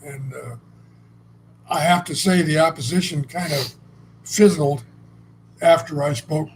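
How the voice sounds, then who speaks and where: A man's voice: a little high, unhurried, slight background noise, rough recording. Blunt, rambling man telling stories. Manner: rambling, blunt, salty, with frequent restarts. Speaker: co-host. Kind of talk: casual conversational storytelling.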